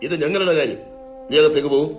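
A man playing a cunning, domineering older father, a retired forest ranger, in a radio drama, speaking in a stern, probing, measured way. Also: fairly high pitch, muffled recording, lightly acted. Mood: commanding. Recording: radio drama with music behind.

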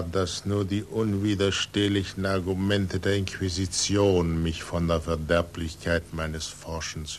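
An actor playing an aging blind scientist in a 1940s German radio drama, weary and reflective, speaking in a measured, solemn way. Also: male voice. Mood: bitter resignation. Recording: noisy 1940s radio recording with rumble.

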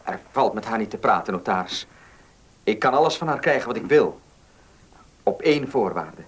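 An actor playing a headstrong, impatient young heir in a radio drama, speaking emphatically and stubbornly. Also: male voice, on the low side, animated, moderate pace, breathy edge. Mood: frustrated, indignant. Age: young adult. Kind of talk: Dutch radio drama acting.

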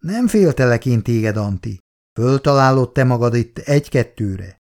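A man's voice: medium pitch, lively intonation, a little mellow, close to an everyday voice. A man voicing a friendly, teasing young man reassuring a newcomer from the village.

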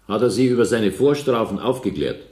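An actor playing a stern, authoritative presiding judge in a radio play, questioning formally. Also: male voice, lowish voice, lively intonation, brisk, darkish tone, natural voice. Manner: formal, interrogating. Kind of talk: German radio play courtroom acting. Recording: echoey 1970s radio drama studio.

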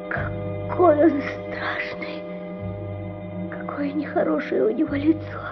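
A woman playing an anguished, pleading little boy in a radio play, earnest and frightened, speaking in emotional, halting phrases. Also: high-pitched, highs cut off. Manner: emotional, halting, breathless whispers and cries.

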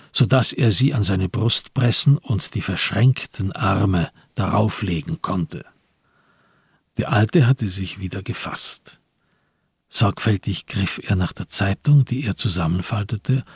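A man narrating a literary crime radio play in a measured, deliberate and dramatic way. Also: on the low side, creaky, darkish tone, slightly rough, no room sound, studio quality.

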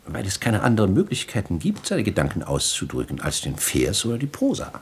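Pompous philosophy tutor, didactic, flattering, rough-edged voice, swooping declamation, vintage radio comedy. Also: male voice, on the low side, exaggerated voice. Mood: patient, instructive. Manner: didactic, measured, ornately declaiming. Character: pedantic, flattering male philosophy tutor, solemn and self-important. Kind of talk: 1960s German radio comedy acting.

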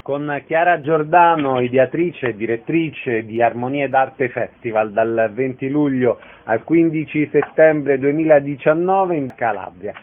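A man's voice: mid-range voice, unhurried, few pauses, phone-quality sound, faint music behind. A man hosting a radio show, giving concise announcer-style introductions and asking short questions. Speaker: radio host, interviewer. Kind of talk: radio presenting and interviewing.

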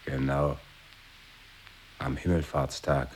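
Terse man, very low husky voice, clipped insistent phrases, radio drama. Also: flat delivery, slow, exaggerated voice. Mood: flat, insistent. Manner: short clipped lines, repetition. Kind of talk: avant-garde radio play dialogue.

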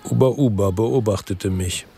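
Ein Schauspieler spielt einen weltmüden Ermittler, der in einem Noir-Hörspiel knapp und grüblerisch aus der Ich-Perspektive erzählt. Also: male voice, lowish voice, normal speed, creaky, warm tone, some chatter behind. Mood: brooding, weary. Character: world-weary, brooding male investigator in Marseille noir. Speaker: narrator and lead actor. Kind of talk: first-person noir radio drama narration. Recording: dry studio with music underneath.

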